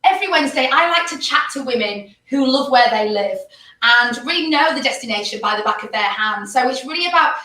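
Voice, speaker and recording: Upbeat lady hosting a live chat, high sharp voice, echoey room and a bit far from the mic. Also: lively intonation, a little fast. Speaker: host. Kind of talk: live online show chat.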